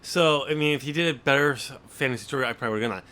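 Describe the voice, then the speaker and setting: A man with a bright, forceful voice at an ordinary pitch hosts a relaxed book discussion. He speaks in a halting way, breaking off and restarting his sentences as he works through a thought. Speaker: podcast host and novelist.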